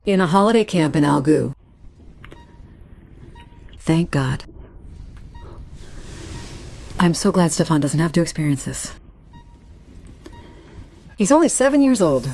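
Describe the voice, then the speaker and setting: A woman playing an anguished wife and mother in a radio drama, brooding in halting, broken phrases. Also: deep voice, expressive, a little mellow, full character voice. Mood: anxious relief. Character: anguished wife of an unconscious musician, mother of a young boy. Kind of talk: radio drama acting, intimate monologue.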